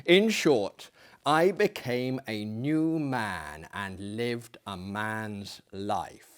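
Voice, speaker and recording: A man performing as a reader of dramatic tales in a hammy, declamatory manner that swells and drops to hushes. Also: lowish voice, animated, extremely slow, clean tone. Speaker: reader and host of dramatic readings. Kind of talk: theatrical dramatic reading of a gothic story.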